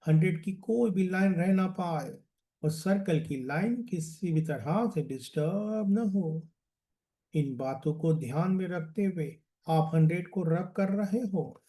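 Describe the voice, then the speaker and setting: A man leads a guided relaxation as a meditation coach, soothing and deliberate, repeating phrases and letting them trail off. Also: sits high, dark tone.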